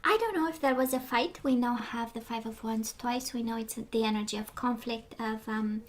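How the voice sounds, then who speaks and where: A woman's voice: fairly high pitch, normal speed. A woman giving a casual tarot reading as she loses her voice, rambling with many fillers and sometimes dropping to a whisper. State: losing her voice. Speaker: tarot reader.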